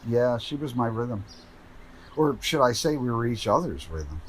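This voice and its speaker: An actor playing an earnest, grieving, easily agitated widower in a radio drama. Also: male voice, mid-range voice, sing-song, unhurried. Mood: polite, wistful.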